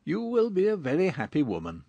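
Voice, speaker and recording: A man playing a fond, dryly teasing older gentleman and father in a dramatized period audiobook. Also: fairly high pitch, huge pitch swings, brisk, gravelly, no room sound, full character voice. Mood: fond, wry.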